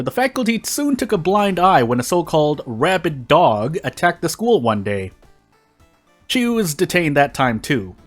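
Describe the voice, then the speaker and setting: A man reading a story aloud in deliberate phrases with short pauses. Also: a little high. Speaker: fan fiction reader on a video channel. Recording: music behind the voice.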